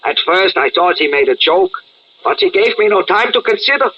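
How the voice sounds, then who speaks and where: An actor playing a frightened middle-aged German man in a radio drama, with a pleading, halting, formal manner. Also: high-pitched, big pitch swings. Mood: anxious pleading. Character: frightened middle-aged German man protesting his innocence, later a curious chemist. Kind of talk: old-time radio drama acting. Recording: dull, noisy lo-fi radio-style recording.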